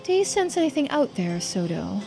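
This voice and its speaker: A woman plays a senior investigator in a mystery game, composed and in charge. The lines come crisp and dry, often as questions, over background music. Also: fairly high pitch, very animated, moderate pace, warm tone. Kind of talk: voiced visual-novel dialogue.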